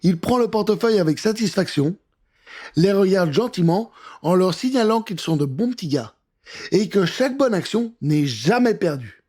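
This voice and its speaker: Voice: medium pitch, animated, normal speed, darkish tone, husky. A storyteller reading a scripted children's adventure in a theatrical, suspenseful way.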